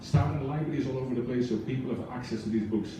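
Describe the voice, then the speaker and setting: A man's voice: medium pitch, fast talker, background noise. A man on a book club's leadership team, speaking to an audience with a foreign accent in an earnest, passionate way, with many restarts. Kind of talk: speech to an audience. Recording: echoey room, voice from far off.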